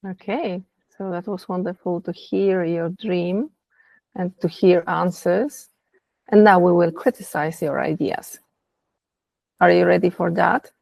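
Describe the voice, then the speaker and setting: A woman's voice: lowish voice, steady tone, measured pace, creaky, a little mellow, no room sound. A woman leading a group coaching exercise on a video call, guiding the discussion and inviting participants to speak. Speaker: coaching session facilitator.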